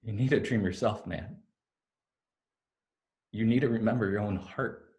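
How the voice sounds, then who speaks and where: An actor playing an intense man who urges a lost fairy to remember himself, in deliberate, poetic lines of a staged play reading. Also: on the low side, a little mellow, natural voice. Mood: earnest, urging. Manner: deliberate, taunting then earnest, poetic. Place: remote staged reading over video call. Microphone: video call microphone.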